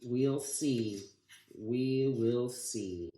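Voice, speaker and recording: Storybook grandfather: old, proud, defiant fighter, acted by a male reader, declamatory, slow-paced, roomy echo. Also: mid-range voice, dark tone. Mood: calm. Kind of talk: storybook read-aloud. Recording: echoey room.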